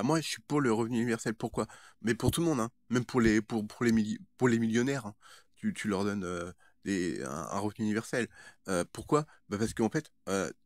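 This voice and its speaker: A man with a fairly low voice, recorded close and dry. He rambles informally to his viewers, halting often and repeating words as he searches for the next one. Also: no echo. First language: French. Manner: hesitant, halting, with frequent stammered word repetitions. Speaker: vlogger. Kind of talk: casual rambling monologue to viewers.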